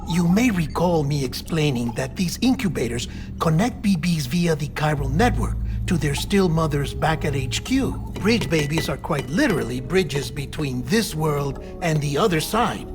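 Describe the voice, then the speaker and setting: A man's voice: fairly high pitch, expressive, moderate pace, hardly any pauses, lightly acted. An actor playing a fussy, pragmatic male scientist in a video game cutscene, articulate and emphatic in his explanations. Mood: explanatory. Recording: music behind the voice.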